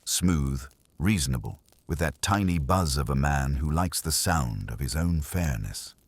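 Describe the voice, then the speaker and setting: A man with an exceptionally deep voice narrates detective fiction. His intonation moves up and down in a lively way, and the sound is dry, with no room around it. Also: a little slow, husky, no room sound. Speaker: audiobook narrator. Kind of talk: scripted first-person detective story narration.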